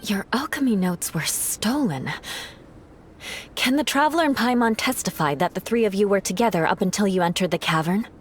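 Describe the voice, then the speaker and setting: A woman's voice: medium pitch, expressive, fairly quick, slight background noise, lightly acted. A woman playing a proud, stern young noblewoman knight in a video game, with haughty, cutting formal speech. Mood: suspicious.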